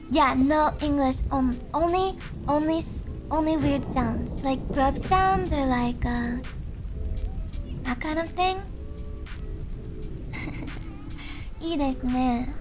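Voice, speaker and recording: A young woman streaming, chatting softly and hesitantly with viewers with many fillers. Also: high voice. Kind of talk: casual chat with viewers. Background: faint background music.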